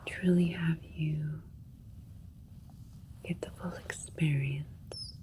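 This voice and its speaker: Slow, whispering alien doctor voiced by a woman. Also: low voice. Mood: calm, explanatory. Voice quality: breathy whisper. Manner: slow, gentle, reassuring, formal and procedural. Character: calm, clinical female alien doctor aboard a spaceship. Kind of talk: ASMR sci-fi roleplay. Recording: faint steady spaceship ambience noise. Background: soft alien spaceship ambience.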